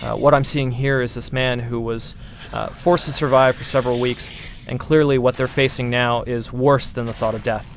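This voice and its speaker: A man's voice: mid-range voice, fairly even intonation, moderate pace. Man presenting over noisy game sound. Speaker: game producer presenting a demo. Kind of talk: gameplay walkthrough commentary. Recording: clear game sound noise behind.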